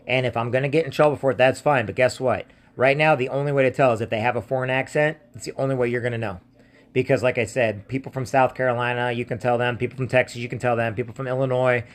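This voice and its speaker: An American man talking casually in a scam-warning video as an identity theft protection seller, insistent, plain-spoken and given to repeating himself. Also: mid-range voice. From United States. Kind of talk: casual warning talk to viewers and on phone.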